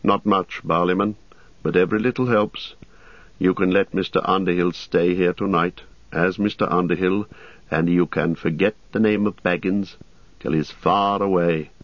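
A man voicing a grim, watchful ranger who speaks low, slow and measured. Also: steady tone, no echo, dull audio, natural voice. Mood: grave. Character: grim, watchful male ranger, steady and guarded.

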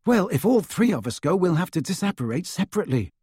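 A man voicing a blunt, excitable teenage boy in an audiobook, speaking emphatically. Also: high-pitched, fast talker, slightly rough, no room sound, studio quality, voice pushed up, full character voice.

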